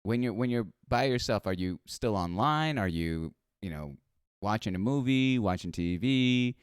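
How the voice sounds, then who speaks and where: An American man hosts a relaxed interview, talking in loose, chatty runs that often restart mid-thought. His voice is smooth and resonant. The sound is dry and close, with no room behind it. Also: fairly low pitch, normal speed, dry recording. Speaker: podcast host. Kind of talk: casual interview chat.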